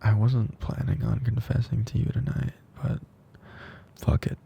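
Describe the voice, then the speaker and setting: A man playing a tender, teasing best friend confessing love in an intimate ASMR roleplay, speaking softly and slowly with breathy drifts into whispering. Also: medium pitch, little pitch movement, dull audio, a little hiss or hum. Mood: earnest, teasing.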